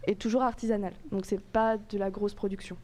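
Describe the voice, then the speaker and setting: A young woman reporter chatting on a podcast in a relaxed, friendly way, laughing at her own anecdotes. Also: medium pitch, fairly quick. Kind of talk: casual chat.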